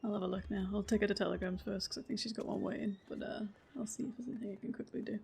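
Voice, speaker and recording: A woman streamer chatting casually while she plays, relaxed and self-deprecating, swearing now and then and laughing often, a deep voice at a desk mic set back a little. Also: very fast, creaky, a little hiss or hum. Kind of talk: casual livestream chat while playing. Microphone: desk mic moved a little further from her face.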